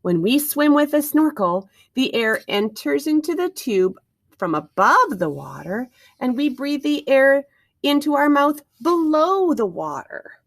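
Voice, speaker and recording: A woman with a fairly high voice reads a picture book to young children in a very animated way. She speaks as a teacher would, carefully and expressively, putting questions to her listeners. The recording is close and dry. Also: unhurried, no echo. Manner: expressive, encouraging listeners with questions and wondering asides.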